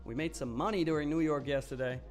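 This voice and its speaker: A man hosts a live stream, answering viewers in a loose, candid way with plenty of fillers. His voice sits a little on the high side, and faint music plays softly beneath it. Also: quick pace. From Switzerland. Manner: loose and candid, with frequent fillers and some mild swearing. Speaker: live trading stream host. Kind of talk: casual live-stream chat, reading and answering viewer comments.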